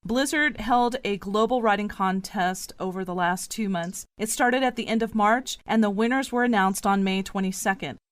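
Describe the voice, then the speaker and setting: A woman's voice: mid-range voice, moderate pace, hardly any pauses, no room sound. A woman presenting a roundtable podcast as its host.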